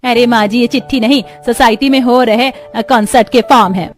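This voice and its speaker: A woman's voice: a little high, steady tone, brisk, faint music behind, lightly acted. A woman voicing a chatty neighbour who brings news and hesitates now and then. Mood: friendly, informative.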